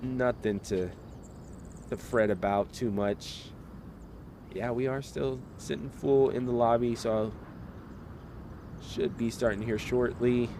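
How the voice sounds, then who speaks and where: A man casting a live esports match, relaxed and chatty, talking straight to viewers while getting over a slight cold. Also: medium pitch, slow, pauses often, background noise. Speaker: esports caster.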